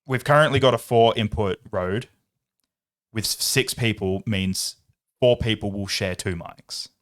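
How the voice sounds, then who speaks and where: A young man trades jokes and chatter as a podcast co-host. His voice sits somewhat low, and he talks in a loose, joking way, often breaking into laughter. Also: a little slow, a bit bright. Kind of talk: casual chat.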